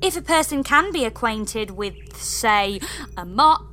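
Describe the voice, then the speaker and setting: A woman's voice: measured pace, a little hiss or hum. A woman playing a blunt, sarcastic, exasperated space engineer in a comedy sci-fi audio drama. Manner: deadpan sarcasm rising to shrill exasperation.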